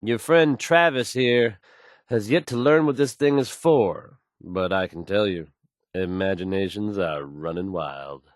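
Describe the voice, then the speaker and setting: A man's voice: fairly low pitch, a little slow, no echo, studio quality, lightly acted. An actor playing a confident, folksy male radio DJ in a video game, delivering news in suave showman's patter. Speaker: radio DJ character.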